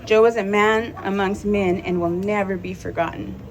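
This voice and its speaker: A woman reading a prepared tribute at a memorial in a measured way. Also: mid-range voice, steady tone, low-quality recording. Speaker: memorial speaker. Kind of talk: read tribute.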